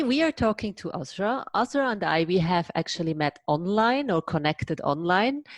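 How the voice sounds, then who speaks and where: A woman podcast host with a fairly low voice introduces guests and asks questions. She speaks clearly and at a measured pace. The sound is dry and close. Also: no echo. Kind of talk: podcast hosting, read intro.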